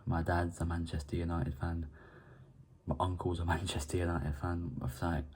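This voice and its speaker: A man's voice: sits low, little pitch movement, normal speed, a little mellow, husky. A young man from Ireland hosting a casual football match preview, rambling and often restarting his words. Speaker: football fan channel host.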